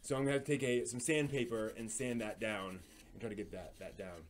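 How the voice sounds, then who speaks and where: A man hosting a casual live stream, explaining hobby projects in a halting, thinking-aloud way. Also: on the low side.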